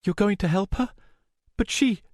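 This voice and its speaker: A man's voice: sits high, moderate pace, darkish tone, dry recording, studio quality, pitched above the performer's own voice, natural voice. A man voicing a frightened, guilt-stricken young woman in an audiobook, pleading urgently in a strained voice that threatens to break. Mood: shaky pleading.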